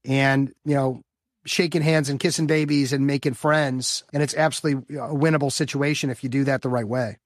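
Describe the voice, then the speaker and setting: A man's voice: medium pitch, steady tone, a little fast, no echo. Upbeat fifty-something man, dry close sound. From Chicago area, US Midwest. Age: 50s. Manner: enthusiastic, upbeat, repeats words when building a point. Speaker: guest, business development coach for lawyers. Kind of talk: casual interview answers.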